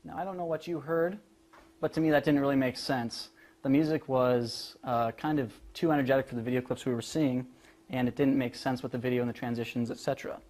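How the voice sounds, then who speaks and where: A young man giving a prepared student presentation, explaining his work in a polite, measured way. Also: mid-range voice, steady tone, a little mellow. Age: young adult.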